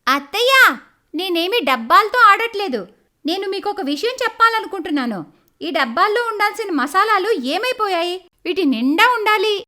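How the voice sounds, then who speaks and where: A woman voicing a sharp, argumentative young lawyer daughter-in-law in a cartoon moral story. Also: very high voice, animated, normal speed, voice pushed up, exaggerated voice. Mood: pointed, insistent. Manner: argumentative, warning, pointed.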